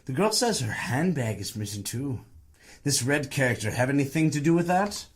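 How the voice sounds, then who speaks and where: An actor playing a needling, sardonic police detective in a noir audio drama, facing an old rival. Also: male voice, medium pitch, expressive, moderate pace, close to an everyday voice. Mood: suspicious.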